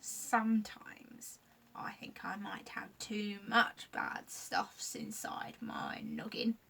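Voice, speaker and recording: Woman reading storybook creature, whispery and mischievous, bright tone, mic at a distance. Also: medium pitch, huge pitch swings, takes it slowly, gravelly, exaggerated voice. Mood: brooding. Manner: broken grammar, hushed whispery delivery. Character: scruffy itchy male creature, mischievous but gloomy.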